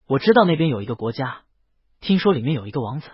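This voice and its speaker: A man voicing a shy, well-behaved young male dragon in an audio story, soft, hesitant and sincere, with a husky voice. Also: medium pitch, very animated, fast talker, no room sound. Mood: earnest. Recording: dull, lo-fi dry voice track.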